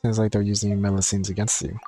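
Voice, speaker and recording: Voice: low voice, flat delivery, fast talker, warm tone, faint music behind. A streamer playing a game, giving offhand, conversational commentary and reading dialogue choices aloud.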